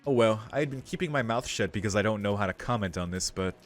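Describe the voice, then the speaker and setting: Male game streamer, lowish voice, casual reading and commentary, soft music behind. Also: quick pace.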